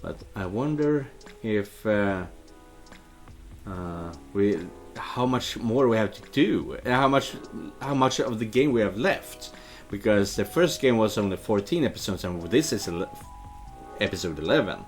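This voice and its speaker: A man's voice: lowish voice, lively intonation, a little slow, faint music behind. A man giving casual let's play commentary, hesitant and thinking aloud as he reads game text. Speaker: video game let's player and dubber.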